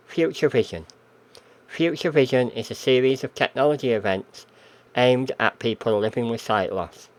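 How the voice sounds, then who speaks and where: Male announcer, scripted intro, steady, reads out a list. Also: mid-range voice, rough recording. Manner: measured, reading out a list.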